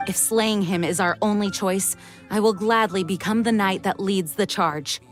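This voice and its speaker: A woman acts a noble female knight commander from a fantasy game. Her mid-pitched voice is formal, earnest and resolute, set over music. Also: moderate pace, natural voice. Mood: solemn, resolute. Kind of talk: video game voice acting. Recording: music under the voice.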